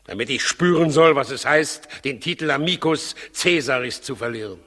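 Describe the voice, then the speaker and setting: A hard, forceful voice in a long reverb: an irritable, suspicious old-world king in a 1960s radio play. He is theatrical and imperious, swinging between commanding outbursts and bitter muttering. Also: medium pitch, animated, normal speed. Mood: indignant, sarcastic. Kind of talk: 1960s German radio drama acting.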